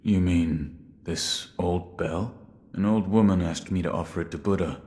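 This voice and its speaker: A man's voice: low-pitched, unhurried, warm tone, husky. An actor playing a stoic, guarded shinobi in a video game, terse and reserved in his delivery. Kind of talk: scripted video game dialogue.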